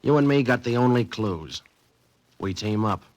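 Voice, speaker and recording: A menacing tough-guy crook played by a man in an old radio mystery. The voice is low, and the talk is blunt, clipped and slangy. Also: animated, moderate pace, a little mellow, close to an everyday voice. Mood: gruffly threatening. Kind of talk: old-time radio drama acting.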